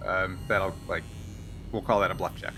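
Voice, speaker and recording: A man's voice: sits low, level tone, moderate pace, sharp tone, a little hiss or hum, rough recording. A man running a tabletop role-playing game as game master, improvising and thinking aloud with some hesitation.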